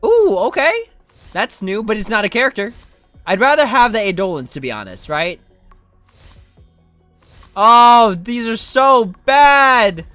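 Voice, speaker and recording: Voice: mid-range voice, big pitch swings, measured pace, long pauses, fairly bright tone, dry recording, soft background music. A game streamer giving casual live commentary in an informal, self-questioning way. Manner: informal, self-questioning, often ends with "right?".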